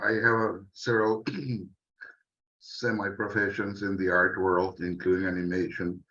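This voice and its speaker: A man's voice: fairly low pitch, a bit bright. A man introducing himself as a writer on a panel, rambling in a wry, reflective way. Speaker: panelist, science fiction writer and artist. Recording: muffled, dull online call audio.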